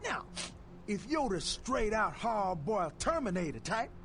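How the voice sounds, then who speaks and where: A man voicing a fast-talking, sleazy, heavyset street fence in a video game, full of slangy hustler patter. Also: sits high, sing-song, a bit bright, slight background noise, heavily acted. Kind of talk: video game character dialogue.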